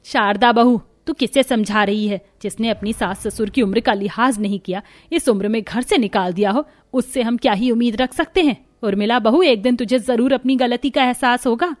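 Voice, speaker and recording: A woman's voice: on the high side, moderate pace, few pauses, close to an everyday voice. An aggrieved old mother-in-law fallen on hard times, as a woman narrator plays her. She laments and reproaches in the manner of a cartoon family melodrama. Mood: reproachful.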